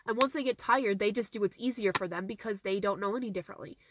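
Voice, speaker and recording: A woman in her thirties, a horse trainer with a hoarse, fading voice, answering viewer questions in a casual live stream from her car, phone held at arm's length, repeating her key points earnestly. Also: mid-range voice, fast, background noise. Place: inside a parked car. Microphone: phone camera at arm's length.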